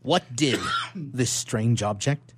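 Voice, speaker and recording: A man playing a tormented young gentleman scientist, imperious and declamatory, in radio theatre melodrama style. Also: medium pitch, expressive, slow pace, breathy edge, heavily acted. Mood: imperious, skeptical.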